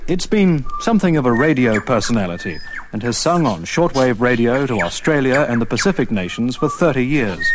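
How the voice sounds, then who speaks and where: A man narrates a scripted radio nature piece with a very lively, rising and falling delivery. His pitch sits mid-range, and faint noise is heard behind him. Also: fairly quick.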